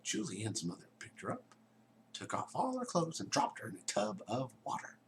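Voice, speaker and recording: A man reading a children's story aloud in a theatrical way, dropping into hushed tones and chatting to his young listeners. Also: lowish voice, wildly swooping pitch, normal speed, slight background noise.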